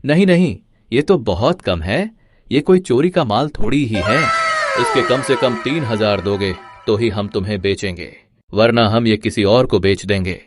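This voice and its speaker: A man's voice: on the low side, expressive, moderate pace, over music, lightly acted. A man voicing a crafty, greedy village sidekick in a cartoon story, speaking in an emphatic, scheming way. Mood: haggling. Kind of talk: Hindi cartoon moral story dialogue.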